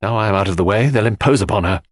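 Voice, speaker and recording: A man's voice: on the low side, fast, breathy edge, no echo, clean studio sound. A man voicing a blunt, fiercely loyal older woman in an audiobook, giving urgent warnings in short, emphatic phrases. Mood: urgent, protective. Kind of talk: audiobook character dialogue.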